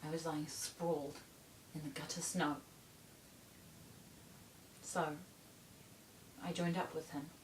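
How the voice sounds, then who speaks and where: Slow, haunted young bohemian runaway played by a woman. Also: level tone. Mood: haunted, recounting. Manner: deliberate, pausing, haunted. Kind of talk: dramatic stage monologue. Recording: roomy, distant mic, faint rumble.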